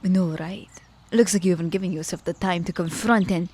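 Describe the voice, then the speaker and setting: A woman's voice: lowish voice, brisk, darkish tone, husky. Woman playing a sly, theatrical immortal witch. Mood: mocking. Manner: playful, mock-courteous, theatrical delivery. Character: clever, teasing immortal witch vixen, theatrical and haughty. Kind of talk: audio roleplay acting.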